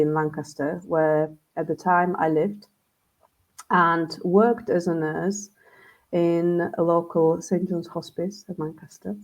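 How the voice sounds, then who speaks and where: A woman speaks slowly and tentatively, with a fairly low voice. She pauses often on fillers and feels her way through each thought in relaxed conversation. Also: poor audio. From Slovakia. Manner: hesitant, many ums, nervous laughs. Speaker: guest, end of life doula facilitator. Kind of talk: casual conversation.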